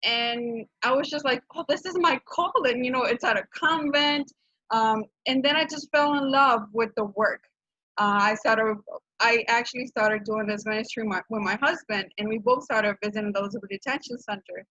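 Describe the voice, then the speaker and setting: A woman's voice: on the high side, normal speed, bright, forceful tone, no echo. A woman in her thirties from Honduras, speaking with a Honduran Spanish accent, earnestly presenting her nonprofit's work on a video call with many fillers and restarts. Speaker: program director of a nonprofit. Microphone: video call microphone. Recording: dull, dry video-call audio.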